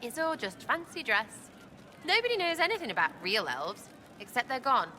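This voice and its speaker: Brash, animated elf girl. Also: a little high, normal speed, slight background noise, exaggerated voice. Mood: blunt, amused. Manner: cheeky, blunt, slangy teasing. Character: brash, mocking young female elf rogue, irreverent and slangy. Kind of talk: dramatic video game acting.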